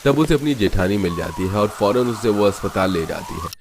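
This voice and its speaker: A man's voice: on the low side, lively intonation, fairly quick, background noise. A man narrating a scripted cartoon family story.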